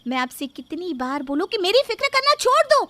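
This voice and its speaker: A woman's voice: extremely high pitch, big pitch swings, fairly quick, bright, forceful tone, no room sound, pitched above the performer's own voice, heavily acted. A woman voicing a rude, impatient young sister-in-law in a moral story, scolding her elder. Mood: exasperated. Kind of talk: Hindi moral story character dialogue.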